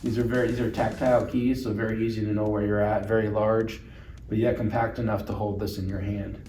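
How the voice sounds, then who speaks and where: A man's voice: lowish voice, level tone, brisk, dark tone. A man giving an instructional product demonstration, explaining things plainly and step by step. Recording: roomy echo, distant mic, music underneath.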